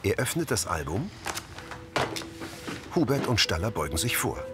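A male narrator reads scripted scene descriptions in a low voice. His intonation moves a good deal, and music plays clearly beneath him. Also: sing-song, normal speed.

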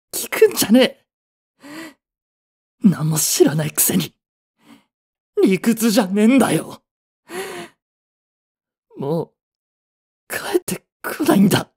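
Romance audio drama lead: young man, played by a male actor, slightly high, breathy, blunt, emotionally raw boyfriend. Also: darkish tone, full character voice. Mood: anguished, furious. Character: young boyfriend, blunt, devoted, grief-stricken. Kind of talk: romantic Japanese voice drama acting.

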